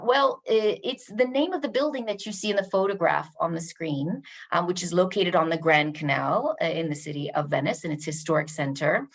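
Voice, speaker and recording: A woman from Canada who works in a university's international office, giving a measured webinar presentation with frequent ums and uhs. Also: mid-range voice, gravelly, a bit bright.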